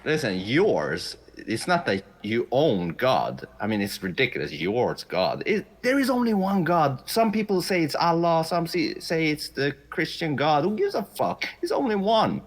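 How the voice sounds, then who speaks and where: A man's voice: a little high, wildly swooping pitch, a little slow, hardly any pauses. A man from Sweden, not a native English speaker, debating in a blunt, profane, insistent way. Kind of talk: heated debate.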